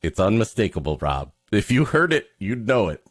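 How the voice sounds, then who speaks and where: A man's voice: on the low side, very animated, normal speed, no echo, clean studio sound, soft background music. A man hosting a comedy podcast, mixing casual joking banter with mock-florid, dramatic reading of a story.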